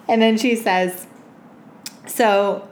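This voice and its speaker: A woman co-hosting a podcast, chatting crudely and comically with plenty of slang, swearing and giggles. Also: fairly high pitch. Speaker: podcast co-host. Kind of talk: unfiltered comic chat and anecdotes. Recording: roomy echo with background noise.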